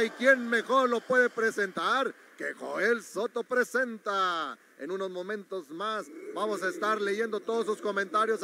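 Man, ringside wrestling commentary, very high pitch, noisy arena behind. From Mexico. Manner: promotional, urging viewers to share. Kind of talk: live event commentary. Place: university gym arena.

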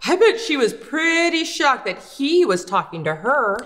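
A woman's voice: high voice, big pitch swings, a little slow, a bit bright, long reverb tail. A woman in her thirties hosting a children's show with scripted, playful, exaggerated expression. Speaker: children's puppet show host. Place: indoor wooden puppet stage set.

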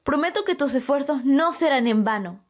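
A woman's voice: high voice, animated, moderate pace. A woman playing a bubbly, bold young girl in an amateur anime-style audio roleplay, gushing and giggly. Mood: bossy, coaxing.

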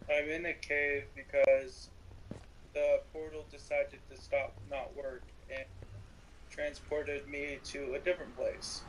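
A man talking casually and haltingly as a player in a multiplayer game. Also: fairly high pitch, little pitch movement. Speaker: player in a Minecraft multiplayer roleplay. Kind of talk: casual gameplay chat. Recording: faintly noisy home game recording.

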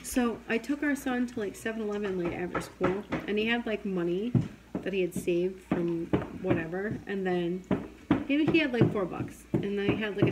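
A woman's voice: mid-range voice, heavy background noise. Chatty woman in her forties. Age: 40s. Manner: chatty storyteller, laughs often, says "like" a lot. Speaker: co-host of a livestream show. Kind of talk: casual late-night chat with viewers. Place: dim home room at a dinner table.

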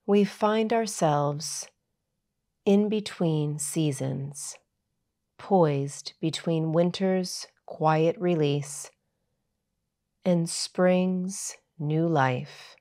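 A woman yoga teacher narrating a guided meditation in a soothing, measured, unhurried way. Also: deep voice, mellow.

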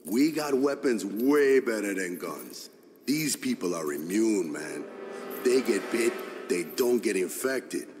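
An actor playing a brash, streetwise, swaggering survivor in a video game, with cocky slangy talk. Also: male voice, fairly low pitch, expressive, takes it slowly, darkish tone, in a large hall, soft background music. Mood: brash, persuasive. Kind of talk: video game cutscene acting.

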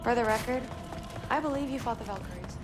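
A woman acting as a bold teenage warrior girl in a video game. She sounds brash, eager and teasing, with a fairly high voice. Loud music runs underneath. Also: fast, lightly acted. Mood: confident, challenging. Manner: brash, challenging.

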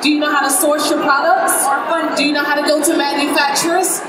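A woman's voice: high-pitched, flat delivery, fairly quick. A woman business owner speaking persuasively on a panel, using rhetorical questions. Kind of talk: panel discussion speech. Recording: distant, echoey hall with crowd noise.

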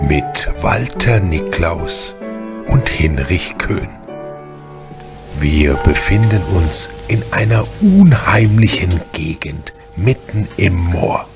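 An actor as an ominous storyteller, giving dramatic scripted narration for a German radio mystery. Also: male voice, deep voice, big pitch swings, slow pace, over music, heavily acted.

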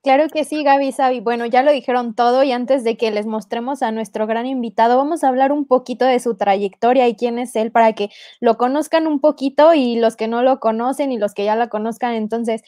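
A young woman with a Mexican Spanish accent introduces a guest in a steady, formal way. Her voice is a little high. The sound is dry and close, from a video call. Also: brisk, no echo. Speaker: radio host.

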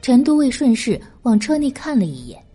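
Casting: female audiobook narrator for historical fiction, high voice with mellow tone, music underneath. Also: big pitch swings, moderate pace, husky. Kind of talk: scripted narration of a historical novel. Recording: background music.

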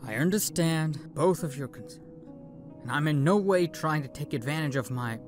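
A man playing an earnest crime-family son in a comic book fan dub, halting and placating. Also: a little high, expressive, lightly acted. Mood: placating, uneasy. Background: music.

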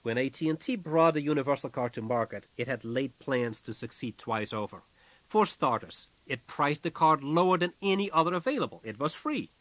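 A man's voice: a little high, fairly bright tone, dry recording. Dry-sounding man narrating an audiobook. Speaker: author reading own audiobook.

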